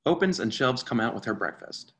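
A man narrates the stage directions of a play reading. His voice is bright and forceful, at an average pitch. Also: animated, fairly quick. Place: indoors at home, on a video call.

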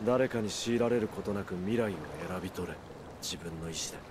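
Low-voiced, grave outlaw leader played by a man. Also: background noise, natural voice. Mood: solemn, resolute. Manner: earnest, grave, measured. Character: resolute, brooding adult male outlaw leader. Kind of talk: Japanese video game cutscene acting.

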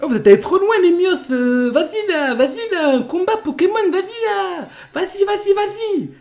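A man's voice: very high-pitched, expressive, normal speed, gravelly, darkish tone, voice pushed up, full character voice. A man voicing a brash young street-gang grunt who talks in swaggering slang and laughs through his taunts. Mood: hyped.

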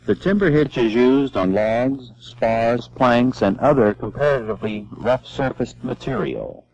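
A man giving measured, step-by-step scripted narration for an instructional film. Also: medium pitch, sing-song, a little mellow, a little hiss or hum. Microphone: voice-over. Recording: dull lo-fi old film soundtrack.

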